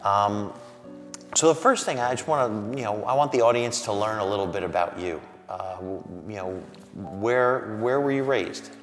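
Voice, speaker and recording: A man's voice: lowish voice, normal speed. A man hosting a workplace interview series, asking curious, probing follow-up questions. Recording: echoey hall with music behind.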